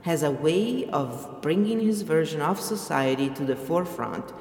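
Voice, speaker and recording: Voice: mid-range voice, expressive, moderate pace, a little mellow. A podcast host reading a book aloud and adding casual commentary, with emphatic stress on key words. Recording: echoey room with long reverb.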